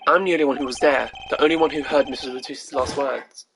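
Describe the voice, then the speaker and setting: A woman's voice: a bass voice, fairly even intonation, fast talker, a bit bright, a little hiss or hum. A woman playing an earnest, anxious young male lawyer in a video game, speaking with urgent concern. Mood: brooding.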